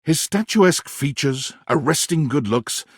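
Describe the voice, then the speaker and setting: An actor playing a wry, dramatic male storyteller, narrating a romance game in a theatrical, expressive way. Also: on the high side, measured pace, breathy edge, no echo, studio quality. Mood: wry, playful. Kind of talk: scripted second-person narration for a dating game.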